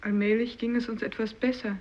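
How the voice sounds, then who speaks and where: Eine Schauspielerin spielt in einem literarischen Hörspiel eine trauernde, vorzeitig gealterte Fischersfrau. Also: female voice, on the high side, little pitch movement, a little fast, natural voice. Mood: sorrowful. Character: bereaved fisherwoman, aged beyond her years, secretive grief. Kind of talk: 1950s literary radio play acting. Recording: dull, lo-fi radio recording.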